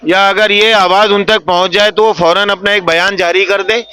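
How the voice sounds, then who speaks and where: A man's voice: sits high, fairly even intonation, moderate pace, bright, forceful tone. A man giving diplomatic, explanatory interview comments on local affairs. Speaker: community interviewee.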